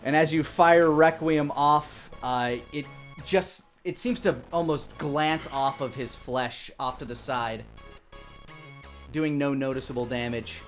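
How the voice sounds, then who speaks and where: A man in his thirties with a slightly high voice runs a tabletop role-playing game. He narrates the action descriptively and dramatically, close on the mic, with music behind him. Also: slow pace. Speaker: dungeon master, host.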